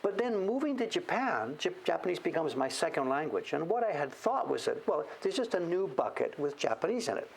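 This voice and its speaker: A man's voice: a little high, big pitch swings, fairly quick, creaky, hardly any pauses, slight background noise, low-quality recording. A middle-aged man hosting a show-and-tell, chatting casually in a rambling, self-deprecating way. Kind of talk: casual livestream chat.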